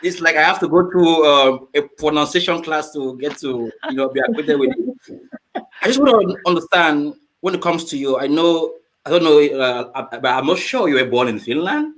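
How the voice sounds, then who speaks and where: A man hosting a casual talk show, speaking in a halting, rambling way and often repeating himself. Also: fairly high pitch, lively intonation, fairly bright tone, low-quality recording. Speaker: host.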